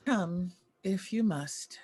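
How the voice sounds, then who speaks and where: A woman's voice: fairly low pitch, slow, darkish tone, breathy edge. A woman playing an imperious older former child actress at a drama table read, grand, clipped and commanding. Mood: haughty.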